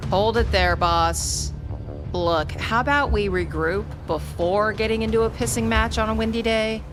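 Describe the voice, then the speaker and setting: A woman playing a feisty, eager rookie agent in an audio roleplay drama, assertive and sharp-tongued. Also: mid-range voice, a little slow, natural voice. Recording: music behind the voice.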